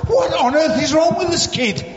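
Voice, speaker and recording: A man in his sixties preaching a sermon in short, animated bursts, pausing for an interpreter. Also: extremely high pitch. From South Africa. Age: 60s. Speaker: visiting preacher. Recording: muffled, echoey hall.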